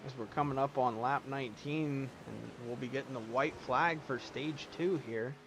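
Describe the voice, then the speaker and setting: Casual race caller over loud engines. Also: male voice, medium pitch, moderate pace, vocal fry. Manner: casual, rambling, with asides. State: losing his voice, clearing throat. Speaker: race commentator. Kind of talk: sim racing play-by-play commentary. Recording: loud engine noise behind. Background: race car engine sounds.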